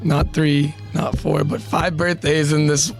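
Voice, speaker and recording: Gravelly, excitable male co-host. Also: fairly high pitch, a little slow, faint music behind. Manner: excitable and playful. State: worn voice after losing it the night before. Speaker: podcast co-host. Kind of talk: casual live podcast chat.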